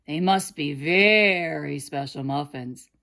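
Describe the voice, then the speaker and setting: A woman's voice: sits low, takes it slowly, vocal fry, pushed and bright, full character voice. A woman voicing a sly, greedy wolf villain from a children's storybook, wheedling and gloating. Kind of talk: children's storybook character reading.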